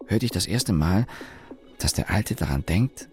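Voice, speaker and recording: Very deep-voiced, wry young engineer. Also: male voice, animated, a little mellow, soft background music. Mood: confiding. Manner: wry, deliberate, with pauses and self-corrections. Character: young engineer, sardonic company employee recalling his father. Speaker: narrator and lead actor. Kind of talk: German radio play monologue, reflective storytelling.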